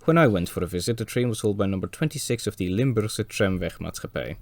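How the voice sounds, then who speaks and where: Man, very low voice, a bit hoarse, scripted narration, dry wry humour. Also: lively intonation, quick pace, no room sound. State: hoarse from a cold. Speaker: railway video presenter.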